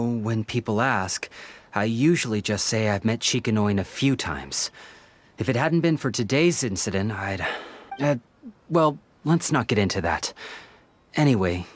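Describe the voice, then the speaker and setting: An actor playing an earnest, polite young male detective's assistant in a video game, hesitant, apologetic and gently exasperated. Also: fairly low pitch. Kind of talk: video game character dialogue.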